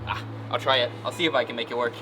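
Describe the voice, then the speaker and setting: A man's voice: medium pitch, fairly even intonation, very fast, noisy background. A man setting a climbing route, talking casually while he works and fighting off a cold, thinking aloud with plenty of ums and likes. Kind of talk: casual vlog narration while working. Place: indoor climbing gym.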